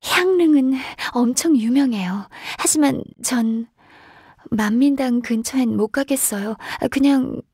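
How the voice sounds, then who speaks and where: A woman playing a gentle, shy, earnest half-qilin secretary in a video game, speaking softly and politely with some hesitation. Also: sits high, unhurried, no room sound, clean studio sound, exaggerated voice. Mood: shy, flustered. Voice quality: soft, breathy. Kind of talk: video game character voice lines.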